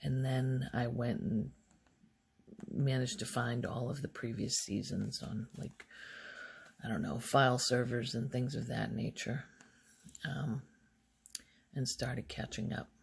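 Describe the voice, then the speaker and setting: Soft asmr lady rambling slowly, lots of vocal fry, almost whispering. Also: steady tone. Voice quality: soft, low-volume voice, often near whisper. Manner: halting, unhurried, rambling. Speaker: ASMR video host.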